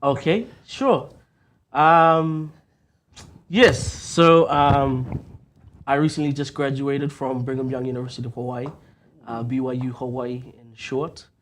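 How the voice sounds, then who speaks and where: A young man guesting on a radio chat show, talking softly with many ums and uhs. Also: medium pitch, fairly even intonation, unhurried, a little hiss or hum.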